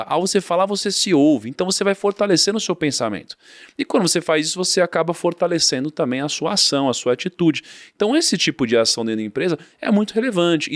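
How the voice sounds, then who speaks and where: A man's voice: on the high side, dry recording, studio quality. A Brazilian man talking casually on a podcast, telling his story and driving home points by repeating phrases. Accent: Brazilian Portuguese.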